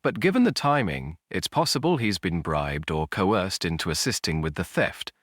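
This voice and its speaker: A man voicing a grim, brisk police inspector in an audio story, businesslike and matter-of-fact. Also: low voice, sing-song, no room sound, clean studio sound.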